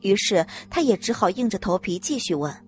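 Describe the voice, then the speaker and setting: A woman's voice: mid-range voice, big pitch swings, fairly quick. A woman reading scripted audiobook narration as the storyteller. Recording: music under the narration.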